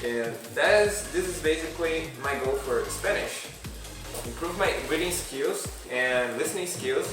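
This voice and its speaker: A man's voice: a little high, expressive, a little slow, far from the mic, music in the background. Young man vlogging in an echoey room. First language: Portuguese. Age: young adult. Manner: hesitant, with frequent restarts and fillers. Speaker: language learning vlogger. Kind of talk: casual vlog monologue.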